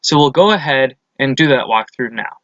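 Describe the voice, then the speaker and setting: A man's voice: mid-range voice, lively intonation, moderate pace, a bit bright, no room sound. Male tutorial presenter, methodical scripted explainer read, dry and slightly muffled lo-fi voice-over. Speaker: accounting lesson presenter. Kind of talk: scripted instructional narration.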